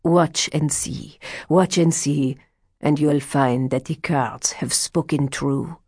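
Woman doing a sly old fortune teller guy from a storybook, slow n ominous, low voice, muttering and exclaiming. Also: fairly even intonation, darkish tone, slightly rough, dry recording, studio quality, full character voice. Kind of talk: audiobook character dialogue.

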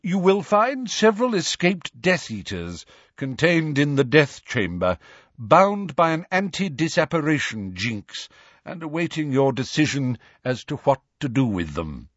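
A man voicing a calm, commanding old wizard in an audiobook, speaking in a measured, magisterial way. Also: fairly high pitch, no echo, dull audio, voice pushed up, exaggerated voice. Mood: calm, authoritative.

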